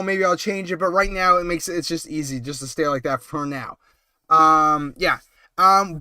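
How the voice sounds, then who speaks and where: A man with a cold hosts a relaxed late-night live stream in a high, bright voice. His tone stays smooth and clear despite the cold. He rambles and chats, full of ums and short laughs, and the sound is dry and close. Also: no echo. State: has a cold.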